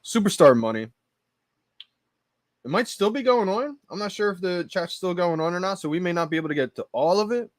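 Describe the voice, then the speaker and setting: A man's voice: high voice, animated, brisk, no echo, studio quality. A man hosting a livestream with casual, informal commentary. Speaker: livestream host.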